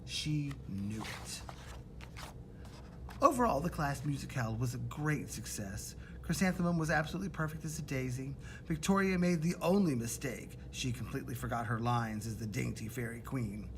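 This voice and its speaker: A man's voice: mid-range voice, normal speed, fairly bright tone, low-quality recording. Man reading a picture book, background noise. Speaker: storybook reader. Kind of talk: expressive read-aloud of a children's picture book.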